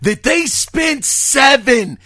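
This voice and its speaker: A man hosting his own podcast, talking in a hoarse voice and often shouting with excitement. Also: very high voice, slow pace. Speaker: podcast host. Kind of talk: casual podcast monologue.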